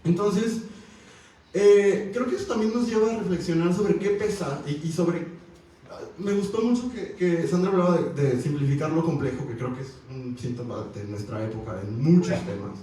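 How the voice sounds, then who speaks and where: Mexican man, panel speaker, wry and animated, slightly high voice, echoey hall, mic at a distance. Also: moderate pace. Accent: Mexican Spanish. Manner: animated, wry, conversational with frequent fillers. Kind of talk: university panel discussion. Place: university auditorium.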